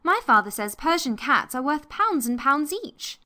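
A woman's voice: sits high, animated, moderate pace, sharp tone, voice pushed up. A woman voicing an earnest, polite young girl who talks eagerly.

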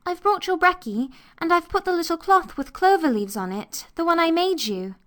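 A woman doing a high eager little girl. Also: lively intonation, normal speed, fairly bright tone. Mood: anxious. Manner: eager, apologetic, tumbling words. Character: earnest fretful young girl Anthea.

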